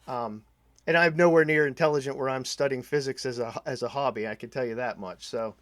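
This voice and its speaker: A man hosting a casual podcast interview, talking in a halting way, searching for words and repeating phrases. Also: mid-range voice, fairly bright tone, no room sound. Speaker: podcast host interviewing a guest.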